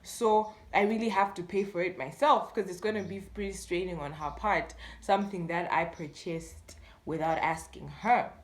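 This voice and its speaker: A woman's voice: lowish voice, fairly even intonation, moderate pace. A young woman with a Malawian accent telling a story in casual chat, animatedly acting out the dialogue as she goes. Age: young adult. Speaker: YouTube storyteller. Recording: echoey room sound.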